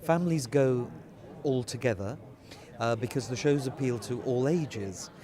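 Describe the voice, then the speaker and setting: A man's voice: mid-range voice, unhurried. A man who works in theatre, giving an explanatory interview in a conversational way. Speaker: pantomime theatre practitioner. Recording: busy crowd background.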